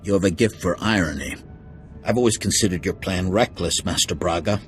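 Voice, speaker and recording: Stern, low-voiced Jedi councilman played by an actor. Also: fairly quick, soft background music, natural voice. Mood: disapproving. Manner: formal, disapproving. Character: stern, skeptical male Jedi council master. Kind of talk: cinematic video game acting.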